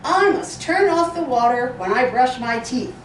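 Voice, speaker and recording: Woman reader as a cheerful little girl, sing-song and lively, in a roomy space off-mic. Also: high voice, normal speed, slight background noise. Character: cheerful little girl talking to her puppy. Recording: roomy echo, distant mic.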